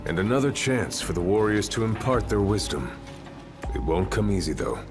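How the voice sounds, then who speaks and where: An actor playing a seasoned older warrior mentor in a video game, gruff and steady, with a measured, wry, understated delivery. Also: male voice, fairly low pitch. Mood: grave, steady. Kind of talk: dramatic video game voice acting. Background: loud music.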